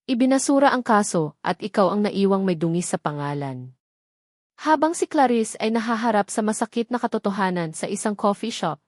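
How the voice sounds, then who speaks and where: A woman's voice: mid-range voice, a little mellow, dry recording, studio quality. A woman reading dramatic scripted narration of a love story as a storyteller.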